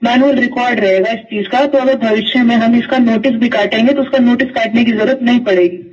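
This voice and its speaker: A woman's voice: a little high, fairly even intonation, a little fast, a little mellow, husky. A woman official patiently explaining rules step by step on a recorded phone call. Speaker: election official. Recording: muffled phone call heard from far.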